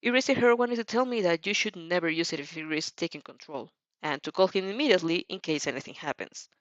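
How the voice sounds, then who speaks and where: A woman's voice: fairly low pitch, fast, no room sound, dull audio, lightly acted. A woman voicing a cheerful, hearty male hero teacher who talks in announcements for an audio story. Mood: confidential.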